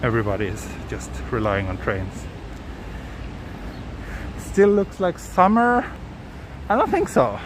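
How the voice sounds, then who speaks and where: A man's voice: medium pitch, wildly swooping pitch, a little slow, long pauses. A man with a Swedish accent hosting a live walking tour, chatting casually and answering viewer questions with hesitant, thinking-aloud restarts. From Sweden. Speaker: livestream walking tour host. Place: city streets outdoors. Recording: outdoor traffic noise.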